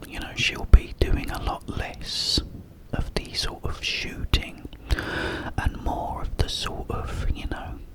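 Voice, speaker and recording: A man whispering a casual ASMR ramble about games, breathy and up close to the microphone, in short halting phrases with pauses. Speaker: ASMR content creator.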